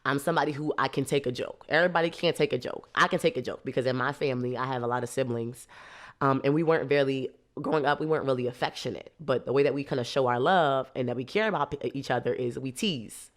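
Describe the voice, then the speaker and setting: A woman, hosting a podcast and explaining ideas in a lively, laughing, casual way. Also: very deep voice, quick pace. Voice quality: nasal. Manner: lively, laughs a lot, teasing. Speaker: podcast host. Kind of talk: casual teaching talk.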